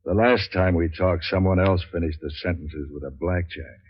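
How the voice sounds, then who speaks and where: A hard-boiled American detective in an old radio noir. His voice is low and husky, his delivery deadpan and sardonic. The sound is dull, like aged broadcast audio. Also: moderate pace. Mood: wisecracking. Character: cynical, wisecracking hard-boiled male private investigator, unflappable. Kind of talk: 1940s radio noir acting and narration. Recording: dull 1940s radio recording.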